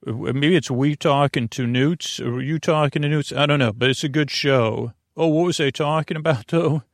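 A man hosting a sleep podcast in a meandering, halting monologue, often losing his thread. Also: a little high, no room sound, clean studio sound. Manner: meandering, halting, loses his train of thought. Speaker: podcast host.

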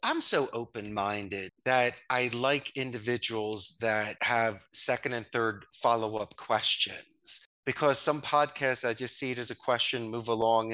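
Bright-voiced man, lively storyteller. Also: low-quality recording. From Northeast Philadelphia, USA. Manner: animated, storytelling, quick jumps in pitch when amused. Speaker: podcast guest, business owner. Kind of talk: casual interview talk.

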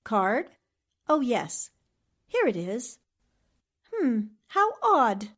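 Woman acting a poised, high-voiced lady sleuth. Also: big pitch swings, drawn-out words, a bit bright, exaggerated voice. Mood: curious. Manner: polished, arch, teasing endearments. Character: poised, shrewd, witty newspaperwoman amateur sleuth. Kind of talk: 1940s radio drama acting.

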